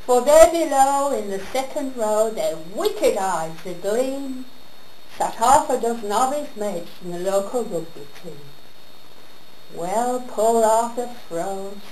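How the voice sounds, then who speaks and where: Woman, higher-pitched voice, comic verse recitation, theatrical storyteller, slowish pace, deliberate pauses, roomy echo. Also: expressive, dark tone. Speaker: monologue performer. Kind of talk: comic rhyming monologue recitation. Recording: roomy echo with background noise.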